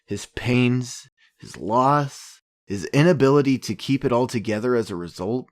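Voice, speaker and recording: An actor playing an earnest, troubled journalist, narrating reflectively with hesitant pauses like a podcast host. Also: male voice, medium pitch, animated. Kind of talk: podcast-style narration in audio drama.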